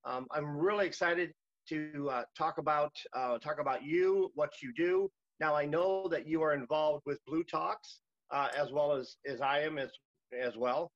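A man's voice: a little high, measured pace, no room sound, highs cut off. A man in his fifties hosting a podcast, hesitant with many ums and uhs. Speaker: podcast host.

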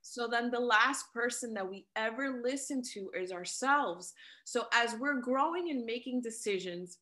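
A woman in her late thirties speaks with a bright, forceful tone and a somewhat high pitch. She is earnest, summarizing and expanding on what her co-host says in a casual conversation. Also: lively intonation, a little slow. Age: late 30s. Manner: earnest, summarizing and building on the other speaker's points. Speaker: podcast co-host. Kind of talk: casual personal chat.

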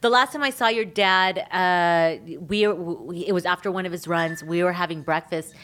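A woman hosting a boxing podcast, chatty and excitable, asking long rambling questions and laughing often. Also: on the low side, moderate pace. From Philippines heritage, Filipino. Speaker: podcast host. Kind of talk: podcast interview.

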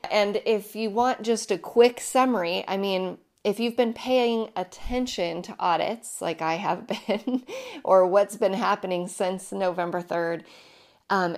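A woman in her thirties hosting her own podcast, talking casually with emphatic repetition, her voice hoarse and deepened from illness. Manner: chatty, emphatic, repeats herself. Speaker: podcast host. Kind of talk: casual solo podcast monologue.

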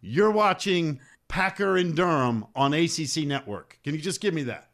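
A man hosting a sports talk show, asking long, rambling questions in an effusive, animated way. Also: fairly high pitch, normal speed. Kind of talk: enthusiastic interview questions.

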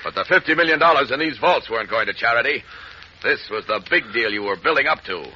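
An actor playing a confident, fair-minded superhero with a firm, measured manner in 1940s radio style. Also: male voice, mid-range voice, animated, sharp tone. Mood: firm questioning. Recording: muffled, noisy old radio recording.

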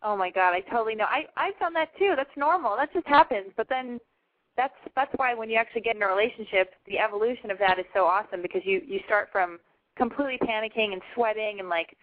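Woman, call-in advice host, rapid, frank, gushing, narrow phone-line audio. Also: mid-range voice. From Los Angeles. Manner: frank, gushing, talks fast. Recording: tinny phone-line sound.

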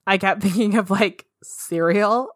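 A woman co-hosting a casual podcast, her voice low and worn from talking all day, swearing and laughing as she tells stories. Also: slow, no echo, clean studio sound. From living in Northern California. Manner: swearing, storytelling, laughs a lot. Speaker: podcast co-host. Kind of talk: casual chat.

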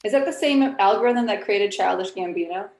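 A woman's voice: medium pitch, fairly quick, a little mellow, roomy sound. A woman guest on a podcast, a musician, chatting in a friendly, easygoing way. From Los Angeles. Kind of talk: casual interview chat.

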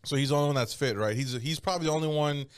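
A man in his thirties in profane casual banter, mocking others by putting on silly high voices. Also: rapid-fire, smooth, no room sound, studio quality. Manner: swears freely, acts out others in a high mocking voice.

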